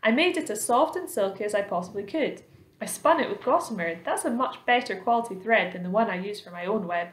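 A woman's voice: medium pitch, animated, fairly quick, lightly acted. A considerate lady spider from a storybook, read by a woman. She is polite, attentive and house-proud, heard in a roomy space. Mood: kindly. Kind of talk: children's storybook read aloud. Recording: roomy, echoing indoor sound.

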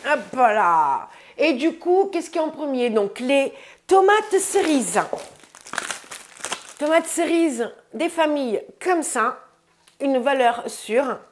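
A woman in her thirties with a high voice and a stuffy nose from a cold chats casually about her groceries. She takes her time, is upbeat and keeps addressing her viewers as friends. She was recorded on a lapel mic in a bright room indoors. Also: very animated, slow pace, a little hiss or hum. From France. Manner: chatty, says "les amis" often, upbeat. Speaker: vlogger. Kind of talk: casual grocery haul vlog.

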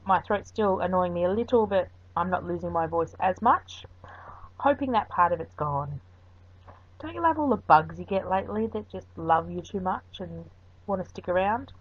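This woman sits a touch low in pitch and talks in a loose, rambling way, dropping ums as she explains each craft step. Her voice-over comes through a dull, lo-fi recording. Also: moderate pace, husky. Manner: chatty and rambling, with frequent ums. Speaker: craft video host. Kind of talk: voice-over craft tutorial narration.

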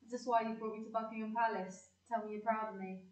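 A woman's voice: on the high side, level tone, fast, lightly acted. An actress playing a feisty, independent working-class young woman, blunt and defiant, in an amateur audio play. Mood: blunt, questioning. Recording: distant, echoey, dull hall recording.

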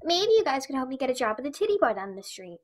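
A woman's voice: sits high, big pitch swings, fast talker. A woman playing a brash, foul-mouthed, easily bored schoolgirl in an audio drama. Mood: brash, excited.

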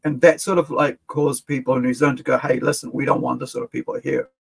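A middle-aged man from Fiji with an American-sounding accent, rambling and animated as he reacts to a video from his desk in a small room. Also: medium pitch, fast, vocal fry. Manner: rambling and animated, with frequent restarts. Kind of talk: casual reaction commentary. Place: small room with collectible shelves, gaming chair.

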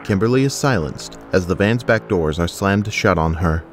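A man's voice: sits low, animated, normal speed, soft background music. A man narrating an action audio drama in a sober, scripted way.

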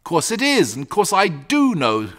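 Man as a self-assured bookish boy, high-pitched. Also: huge pitch swings, measured pace, a bit bright, heavily acted. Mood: calm, assured. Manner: matter-of-fact, patient, slightly superior. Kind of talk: storybook character reading.

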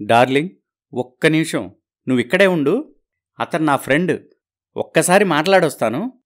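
Man playing sly boastful husband type, smooth talker, evasive, cartoon story. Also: fairly high pitch, lively intonation, normal speed, lightly acted. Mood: coaxing. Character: sly, boastful married man wooing girlfriend.

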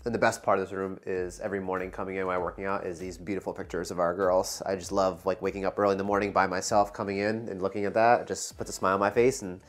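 A man's voice: low voice, level tone, fast, hardly any pauses. A man in his thirties chatting casually with his wife on a home tour, mostly short agreeing replies. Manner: agreeable, brief affirming replies. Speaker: co-host, husband. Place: bright home interior rooms.

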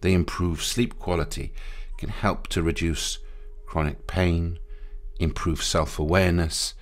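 A man guiding a meditation in a soothing, unhurried way, pausing between short phrases. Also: low voice, steady tone. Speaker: meditation guide. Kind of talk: guided meditation. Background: music.

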